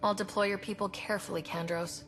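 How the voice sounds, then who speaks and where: An actress playing an earnest, determined young space explorer in a video game, speaking directly and sympathetically. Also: female voice, medium pitch, fairly even intonation, quick pace, background noise, natural voice. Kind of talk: video game cutscene acting.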